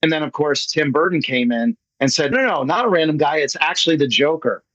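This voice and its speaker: A man's voice: a little high, brisk, a bit bright, no echo. An American man chatting casually and enthusiastically, with a jokey manner, as a playwright in an online meeting. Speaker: playwright presenting his play at an online meeting. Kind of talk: casual chat. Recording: muffled lo-fi online meeting audio.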